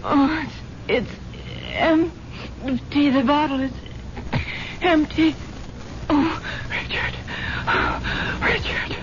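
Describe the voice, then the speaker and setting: An actress playing a weak, drugged wife who falters and whispers as she slowly realizes she has been poisoned. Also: sits high. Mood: weak, tearful. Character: rich wife, drugged and dying, vowing revenge. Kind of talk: 1970s radio drama acting. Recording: dull old radio broadcast with hiss.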